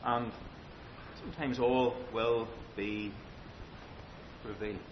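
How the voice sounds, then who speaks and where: A man delivers an expository sermon in an emphatic, deliberate way, leaning on repetition and rhetorical questions. The recording is dull and lo-fi, with a faint rumbling noise underneath. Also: fairly even intonation. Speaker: preacher.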